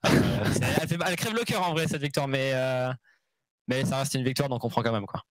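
A man's voice: medium pitch, expressive, brisk, dry recording. Casting note: male pro gamer, casual and slangy, dry close mic. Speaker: esports player. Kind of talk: post-match interview.

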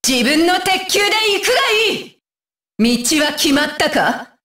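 A woman's voice: very high voice, expressive, measured pace, sharp tone, no room sound, clean studio sound, full character voice. A woman playing a fierce, disdainful young female shinobi with a curt, commanding manner, in anime video game battle lines. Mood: fierce, threatening.

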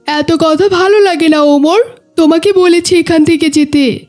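A very high, whiny little schoolboy for a children's cartoon. He sounds petulant and childlike, in a moral-tale dubbing style. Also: steady tone, unhurried, darkish tone, faint music behind. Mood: defiant, dismissive. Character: defiant, fun-loving schoolboy, later remorseful.

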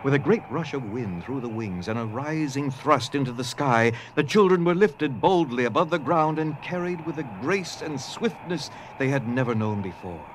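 A man narrating a dramatized story theatrically, with dramatic pauses and hushed emphasis. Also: mid-range voice, lively intonation, noisy background. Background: music.

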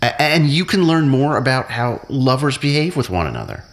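A man's voice: mid-range voice, big pitch swings, normal speed. A man playing an obsessive, manipulative captive in an audio drama, with an intense, commanding, brooding manner. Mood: insistent, manipulative.

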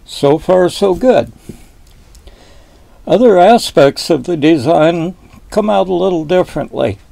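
Older man, deep dark gravelly voice, unhurried folksy teaching, cabin recording. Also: animated, dry recording. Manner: slow, deliberate, folksy explanations. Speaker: boat designer explaining model sailing. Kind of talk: explanatory video lesson. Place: wood-panelled boat cabin indoors.

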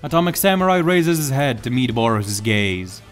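A man's voice: mid-range voice, big pitch swings, normal speed, faint music behind. Male narrator of a dramatic story.

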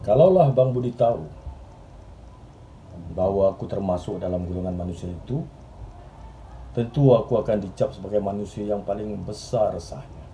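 A man acting an earnest, restless journalist in a dramatic stage monologue, speaking in deliberate literary phrases with pauses. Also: fairly low pitch, warm tone, slight background noise, close to an everyday voice. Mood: brooding, reflective. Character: earnest, restless male journalist reminiscing about his admired mentor. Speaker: stage actor. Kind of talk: theatrical monologue in Malay drama.

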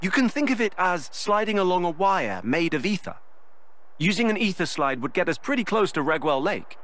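A composed inventor and leader from a video game. He explains things politely and in measured phrases, with a fairly high, animated voice. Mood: calm, explanatory. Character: composed, scholarly young male inventor and leader. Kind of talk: video game cutscene acting.

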